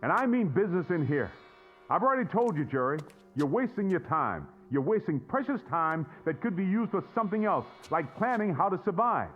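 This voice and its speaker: A man's voice: high voice, big pitch swings, a little fast. An actor playing an exasperated, stubborn man shut in his shelter in a radio drama. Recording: dull muffled sound, faint music.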